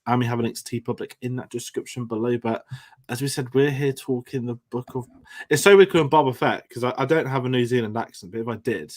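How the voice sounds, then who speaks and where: A young man, talking quickly in a chatty, upbeat way as co-host of a casual chat. He rambles into asides and keeps the conversation moving. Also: medium pitch.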